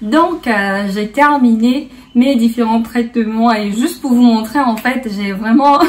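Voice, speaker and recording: A woman's voice: a little high, measured pace, recorded from a distance. A woman hosting a casual beauty tutorial in a playful, chatty way, slipping bits of English into her French. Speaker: beauty vlogger, host.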